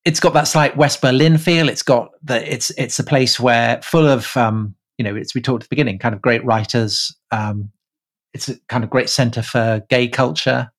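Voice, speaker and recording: Guy cohosting a podcast, chatty, keen, mid-pitch voice. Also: fairly even intonation. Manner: chatty, enthusiastic, quick reactions. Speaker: podcast co-host. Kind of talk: casual chat.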